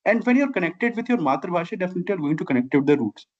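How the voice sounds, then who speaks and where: A man's voice: high voice, sing-song, rapid-fire. A middle-aged man from Bihar, a native Maithili speaker with an Indian accent, earnestly telling his own story as an interview guest. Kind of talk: conversational personal storytelling. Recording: dull, lo-fi audio.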